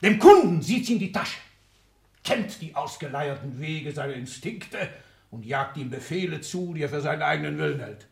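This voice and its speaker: Ailing old tycoon, power hungry, bossy and declamatory, whispers to shouts, old german radio play. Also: male voice, fairly high pitch, lively intonation, moderate pace, creaky. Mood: bitter ranting. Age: older.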